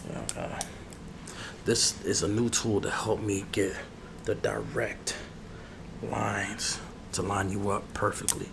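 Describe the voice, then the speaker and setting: Man playing a low-voiced, grumbling ASMR barber. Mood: chatty, confiding. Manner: grumbling, repeats phrases, drawn-out asides. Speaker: ASMR role-play creator. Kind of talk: ASMR role-play, casual chat to the listener. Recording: clearly noisy background ambience.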